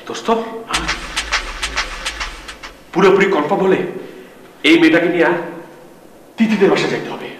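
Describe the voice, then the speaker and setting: An actor playing a wronged, accusing young lover in a TV drama, with a Bangladeshi accent and an emphatic, bitter delivery. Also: male voice, fairly high pitch, quick pace, natural voice. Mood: urgent. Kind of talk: TV drama acting. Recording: echoey room with music behind.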